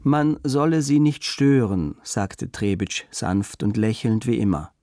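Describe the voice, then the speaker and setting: A man's voice: on the low side, animated, measured pace, dark tone, dry recording. A man reading scripted literary narration as the narrator of a radio play.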